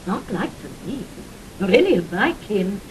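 A woman's voice: very animated, moderate pace, a little mellow, natural voice. An actress playing a fiercely protective old duchess and mother in a Shakespearean audio drama, with a British accent and theatrical, emotional delivery. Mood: pleading. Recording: rumbly, noisy vintage 1960s drama recording.

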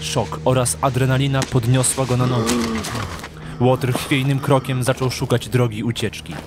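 A man's voice: mid-range voice, flat delivery, moderate pace, background noise. A man narrating a dramatic audio drama from a script. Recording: clear music behind the narration.

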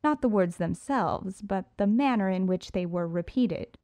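A woman's voice: medium pitch, lively intonation, a little slow, warm tone, dry recording. A woman reading an audiobook in the first person, with poised, sultry storytelling, recorded on a close studio mic. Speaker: audiobook narrator.

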